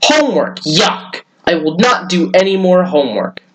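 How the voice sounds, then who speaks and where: A man's voice: sits high, big pitch swings, normal speed, a bit bright, voice pushed up, low-quality recording. A man voicing an upset young schoolboy who dislikes homework, in a children's storybook.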